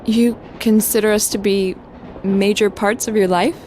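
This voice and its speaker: An actress playing a composed, serious young student in a video game, speaking in formal, measured sentences. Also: female voice, mid-range voice, fairly even intonation, a little mellow, soft background music, natural voice. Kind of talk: video game cutscene dialogue acting.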